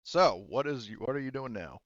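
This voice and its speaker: A man running a tabletop game as game master, narrating and thinking aloud in a halting way. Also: medium pitch, lively intonation, fairly bright tone, no echo, highs cut off.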